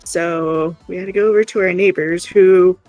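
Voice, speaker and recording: A livestream co-host chatting casually and telling everyday stories in an animated, playful way. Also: mid-range voice, moderate pace, rough recording. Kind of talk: casual livestream chat and storytelling. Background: music.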